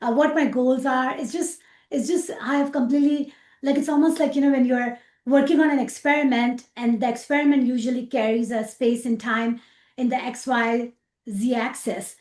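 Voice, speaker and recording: A middle-aged woman with a Pakistani accent, an interview guest talking about her work with animated repetitions and fillers. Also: high-pitched, normal speed, echoey room. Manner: animated, repeats words, frequent fillers, laughs easily. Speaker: rocket scientist guest. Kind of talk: casual interview chat.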